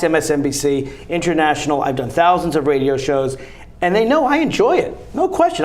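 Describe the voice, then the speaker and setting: A man with a fairly high speaking voice makes his campaign pitch in a TV studio interview. He talks fast and emphatically, pressing each point like a fighter. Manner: emphatic, combative, rapid-fire argument. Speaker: congressional candidate. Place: local cable TV studio.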